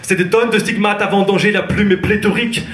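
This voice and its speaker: A man's voice: high voice, steady tone, fairly quick, fairly bright tone. Man performing slam in a roomy theatre. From France. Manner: rhythmic, intense spoken-word delivery. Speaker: slam poet. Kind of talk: slam poetry performance on stage. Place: theatre stage. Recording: roomy theatre echo, live.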